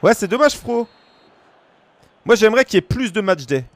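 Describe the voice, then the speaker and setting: A man in his thirties from France, streaming a football game and chatting casually with viewers, breaking into shouts at big moments. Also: sits high, normal speed. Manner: excitable, shouts at goals, chats casually with viewers. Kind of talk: live gaming commentary to chat. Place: small room at home.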